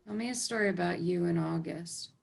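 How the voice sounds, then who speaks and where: A woman playing a dying young woman in a drama, faint and tender. Her voice is heavily creaky and halting, with a pleading softness. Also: fairly low pitch, heavily acted. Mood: weak, tender. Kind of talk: screenplay table read acting.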